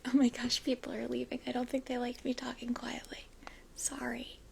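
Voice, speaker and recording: A woman whispering softly through a livestream in a quiet home room, chatty and a little self-conscious, speaking into a cheap microphone while holding her phone. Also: on the high side, monotone, moderate pace, vocal fry, a little hiss or hum. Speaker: livestream host. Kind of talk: whispered ASMR-style livestream chat. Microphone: cheap USB microphone, phone held in hand.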